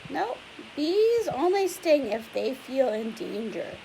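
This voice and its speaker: A young woman reads a picture book aloud in a lively, rising-and-falling voice that sits a bit high. She explains things in a chatty way and keeps asking the children questions. A faint hiss runs under the recording. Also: slow pace, vocal fry, rough recording. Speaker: teacher reading aloud. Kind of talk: read-aloud lesson for children.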